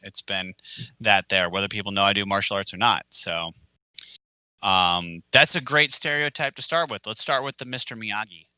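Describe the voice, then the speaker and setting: Bright, clear-voiced man chatting. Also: fairly low pitch, moderate pace, no echo. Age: adult with kids. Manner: relaxed, chatty, many fillers. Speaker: podcast co-host, martial arts teacher. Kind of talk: casual podcast chat.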